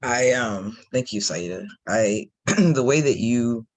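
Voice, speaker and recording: A man speaking as a panelist in a conversational discussion, phrasing thoughts in a thoughtful, measured way. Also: medium pitch, expressive.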